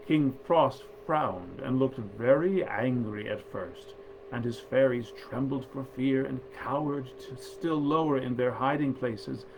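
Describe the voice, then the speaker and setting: A man reading nineteenth-century fairy tales aloud in a measured, expressive storyteller's manner. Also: mid-range voice, a little hiss or hum, rough recording. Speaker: actor reading stories aloud.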